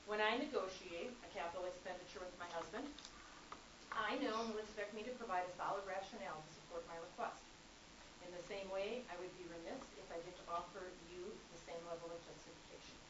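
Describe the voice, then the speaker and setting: A woman's voice: lowish voice, brisk. Businesslike woman reading figures, far off. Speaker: public commenter, accounting instructor. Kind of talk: formal prepared statement. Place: college board meeting room. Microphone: speaking without a microphone. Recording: echoey distant room recording, dull, noisy.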